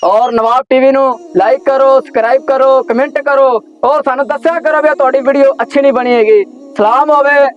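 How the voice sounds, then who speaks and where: High-pitched Pakistani Punjabi male presenter. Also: fairly even intonation, a little fast, lo-fi sound. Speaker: channel actor and presenter. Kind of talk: direct moral appeal to viewers.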